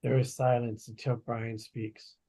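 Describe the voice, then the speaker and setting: A woman's voice: rumbling low, little pitch movement, measured pace, mellow, slightly rough. A woman giving scripted narration, reading stage directions at a table read.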